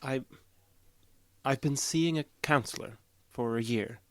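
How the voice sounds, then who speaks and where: An actor playing a calm, emotionally detached boyfriend in an audio drama, speaking in a flat, hesitant and literal way. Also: male voice, medium pitch, unhurried, no room sound, natural voice. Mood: awkward, confessing. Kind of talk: naturalistic audio drama acting.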